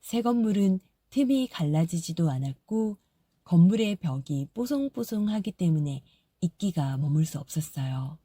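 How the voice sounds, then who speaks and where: A woman reads a fairy tale in a soft, gentle storytelling voice. Her tone is very mellow and a bit husky, and it sits slightly low. The recording is close and dry. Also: expressive, moderate pace, no room sound. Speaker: audiobook narrator. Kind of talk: scripted storybook narration.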